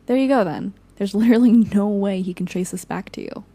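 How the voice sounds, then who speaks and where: A woman's voice: medium pitch, brisk, mellow, no echo, lightly acted. An actress playing a weary, frank young woman, a supportive friend, in casual audio drama dialogue. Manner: casual, candid.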